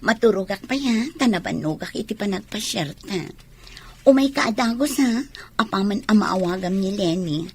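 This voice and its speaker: Actress as a sly wife: husky, slow delivery, lively rises and falls, radio-drama acting. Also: female voice, medium pitch. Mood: sweet-talking.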